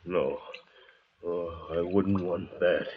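A man's voice: low voice, fairly even intonation, very slow, a little hiss or hum. An actor playing a bitter, thirst-crazed castaway in an old radio adventure drama, sly and mock-polite in his menace. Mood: suspicious, sullen. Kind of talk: 1940s-50s radio adventure drama acting. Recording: dull vintage radio recording.

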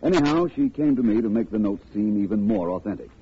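Suave, low-voiced radio detective. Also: male voice, lively intonation, fast, husky. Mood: assured. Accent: American. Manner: urbane, precise, explanatory. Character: suave, confident male private detective, unruffled and wry. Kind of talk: 1940s radio detective acting. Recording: tinny, faintly noisy old radio recording.

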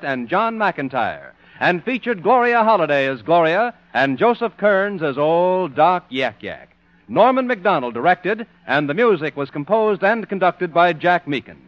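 A man's voice: high-pitched, dry recording. An American man reading scripted announcements in the style of a 1950s radio announcer. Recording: dull vintage radio broadcast recording. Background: music.

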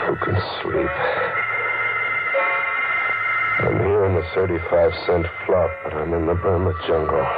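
A man's voice: deep voice, natural voice. An actor playing a haunted, jittery war veteran in a 1940s radio mystery, brooding and hesitant as he tells of his torment. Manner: brooding, hesitant, tense repetitions. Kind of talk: 1940s radio mystery acting, first-person narration. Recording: muffled old radio recording with loud music.

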